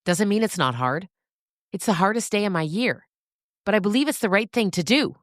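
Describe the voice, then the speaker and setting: A woman's voice: mid-range voice, animated, normal speed, dry recording, clean studio sound, pitched above the performer's own voice, lightly acted. A woman voicing a frank, heartfelt farmer in an audio story. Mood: earnest, defensive. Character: frank, earnest adult woman goat-and-pig farmer.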